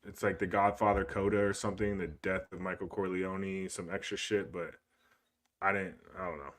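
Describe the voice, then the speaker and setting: Laid-back young man with a very deep voice. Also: level tone, normal speed, a bit bright. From Cuban heritage. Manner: laid-back, rambling, swears often. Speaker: podcast co-host. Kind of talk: casual chat.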